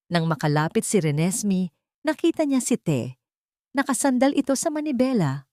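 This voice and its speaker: Female narrator for audio drama: lowish pitch, solemn and emotive delivery, dry close sound. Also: a little mellow, no room sound. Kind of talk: dramatic scripted story narration.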